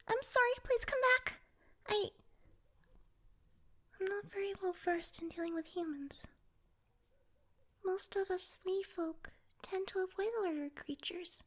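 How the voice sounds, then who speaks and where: Fantasy ASMR roleplay role: little pixie played by a woman, extremely high voice, flustered and stammering, proud, wary of humans. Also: unhurried, pauses often. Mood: flustered, apologetic.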